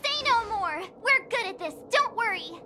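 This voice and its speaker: Very high, perky teenage girl. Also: expressive, moderate pace, background noise. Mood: cheerful, eager. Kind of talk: video game voice acting.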